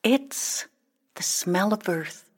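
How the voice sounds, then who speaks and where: A woman voicing a shy, gentle male boxwood tree in a storybook, quiet and admiring. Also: medium pitch, takes it slowly, breathy edge, voice pushed up. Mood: wistful. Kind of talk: storybook character voice.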